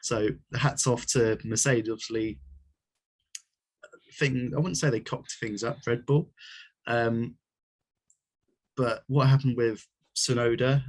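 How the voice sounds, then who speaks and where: A man's voice: medium pitch, steady tone, slow, pauses often. A man with a cold who co-hosts a casual podcast over a video call, rambling through long answers full of restarts and small chuckles. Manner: rambling, with many fillers, restarts and small laughs. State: has a cold. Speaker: podcast co-host.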